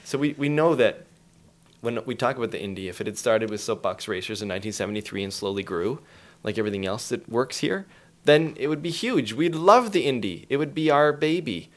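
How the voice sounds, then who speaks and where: A man's voice: medium pitch, lively intonation, fairly quick. A man speaking as an interview guest, explaining things in an emphatic, storytelling way with acted-out quotes.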